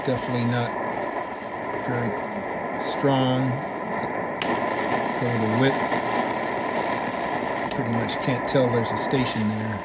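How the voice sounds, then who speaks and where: Heavy radio hiss surrounds a man with a medium-pitched voice. He narrates casually and without hurry, musing aloud as he works the dial. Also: flat delivery, slow pace, pauses often. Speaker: shortwave radio hobbyist host. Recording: loud radio static and hiss. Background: shortwave radio noise.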